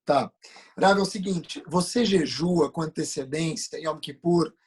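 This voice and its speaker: A man with a husky voice, hosting an informal comic interview on a video call in a teasing, interrupting manner. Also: fairly high pitch, measured pace.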